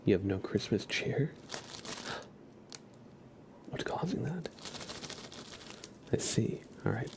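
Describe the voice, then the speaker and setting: A man with a low, creaky voice speaks in gentle ASMR style, slipping between whispers and soft speech. He takes his time, close to the mic, with clear background noise and crinkling. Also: big pitch swings, slow, pauses often. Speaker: ASMR live streamer.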